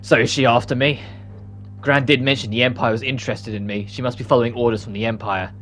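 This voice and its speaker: A man's voice: mid-range voice, fairly even intonation, quick pace, fairly bright tone, lightly acted. A man voicing an earnest, guarded male fallen knight in a game cutscene, speaking seriously. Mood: wary. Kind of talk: reading game cutscene dialogue.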